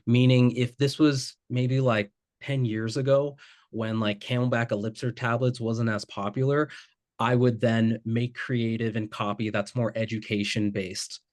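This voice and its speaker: A man's voice: medium pitch, little pitch movement, a little slow, darkish tone. A man working as a guest ad expert, reviewing submitted ads live in a casual, loose way with plenty of filler words. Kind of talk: live ad critique session.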